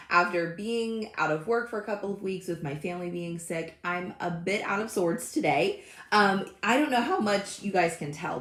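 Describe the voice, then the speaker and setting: A woman in her thirties hosting a casual craft livestream, chatty and friendly, with a slightly hoarse voice. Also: lowish voice, roomy sound. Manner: chatty and friendly, greets live commenters by name. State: slightly hoarse, recovering from illness. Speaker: craft channel host. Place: home craft room.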